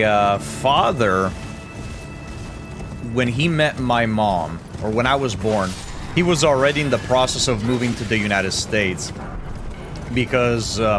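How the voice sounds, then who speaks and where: A man from Italy gives casual, joking commentary while playing a game and talking to his chat. His voice sits a little low. Game music plays clearly behind him. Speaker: streamer.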